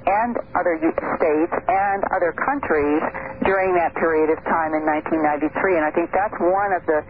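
A woman speaking as a radio interview guest, earnest and measured, pausing often with "uh". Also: fairly low pitch. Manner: earnest, measured, many "uh" pauses. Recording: tinny phone line with faint hiss.

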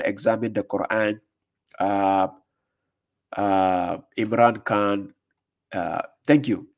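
Male streamer, 30s, lowish pitch, relaxed chat greeting viewers, voice slightly worn, close desk mic in a small dark room. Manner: reads viewer comments aloud, frequent "uh" and "right?". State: recovering from losing his voice. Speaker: livestream host. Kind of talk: casual livestream chat. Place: dark room with curtains, indoors. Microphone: desk condenser mic in front.

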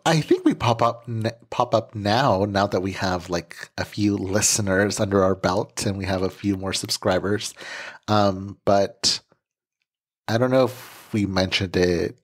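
A man hosting a podcast in casual chat, relaxed and full of fillers and quick laughs, speaking into a podcast microphone. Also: lowish voice. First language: English. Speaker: podcast host.

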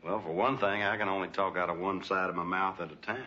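An actor playing a humble backwoods frontier hero, folksy and self-deprecating, in a television tall tale. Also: male voice, sits low, fairly even intonation, fast talker, pushed and bright. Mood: self-doubting. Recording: dull, noisy old TV soundtrack.

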